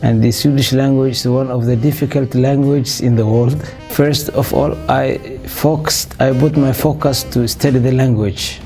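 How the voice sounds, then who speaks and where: Somali-born man in his 50s, mellow tone, measured interview answers with fillers, faint guitar behind. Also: medium pitch, fairly even intonation. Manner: measured, with frequent uh fillers and restarts. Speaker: interview guest, business owner.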